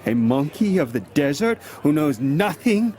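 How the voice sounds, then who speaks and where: A man's voice: fairly high pitch, big pitch swings, a little slow, darkish tone. An actor playing a jealous, hot-tempered young man in an audio drama, speaking with indignant emphasis. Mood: accusing. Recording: crowd chatter behind the voice.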